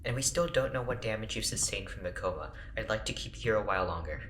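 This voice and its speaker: A man's voice: on the low side, expressive, fast talker, a bit bright. A man playing a guarded, protective scientist and doctor in an audio drama, speaking with careful, formal restraint. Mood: anxious, protective. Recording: roomy echo, clear background noise.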